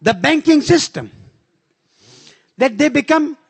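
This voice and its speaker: A man with a Pakistani accent whose first language is Urdu, giving an emphatic conference speech and slowing down to stress his key points. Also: very high-pitched, big pitch swings, vocal fry, no room sound. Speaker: conference speaker.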